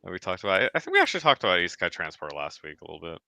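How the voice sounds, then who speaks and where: A man with a very deep, crisp, bright voice, chatting in a relaxed and joking way as host of an online show. He laughs easily as he talks. The sound is dry and close. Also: rapid-fire. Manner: laughs often, loose joking delivery. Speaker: podcast host. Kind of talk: casual chat.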